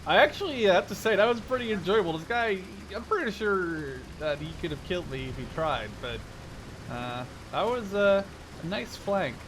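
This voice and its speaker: A man's voice: high-pitched, lively intonation, moderate pace. A man in his twenties giving casual, chatty, playful gameplay commentary, often thinking aloud as he plays. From Canada-based, Dutch. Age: 20s. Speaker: gaming video host. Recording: vehicle and game noise behind voice. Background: tank game sounds.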